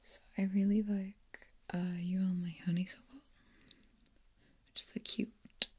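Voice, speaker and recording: Breathy whispering woman, very close. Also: mid-range voice, level tone, unhurried. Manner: whispers her chat and softly sings lullabies. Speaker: ASMR creator. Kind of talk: ASMR whispering and soft singing. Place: small dark indoor room. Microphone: binaural mic, close.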